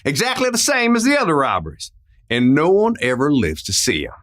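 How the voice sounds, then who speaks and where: A man's voice: a little high, huge pitch swings, brisk, a bit bright. A man playing a friendly, loyal Old West sheriff in a radio drama, with a folksy drawl full of frontier slang. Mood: puzzled, excitable. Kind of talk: old-time western radio play acting.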